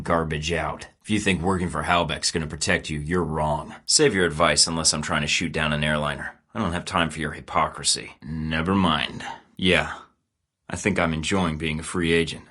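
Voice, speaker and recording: A sardonic deep-voiced rogue spy. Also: male voice, bright, forceful tone, full character voice. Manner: sarcastic, clipped. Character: cocky male rogue spy, sardonic and defiant. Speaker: secret agent protagonist. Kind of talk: video game voice acting. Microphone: studio recording.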